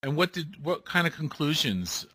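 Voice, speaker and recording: A man hosting an interview, asking measured questions. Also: fairly high pitch, very animated, pushed and bright, slightly rough, dry recording. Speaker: interviewer, host.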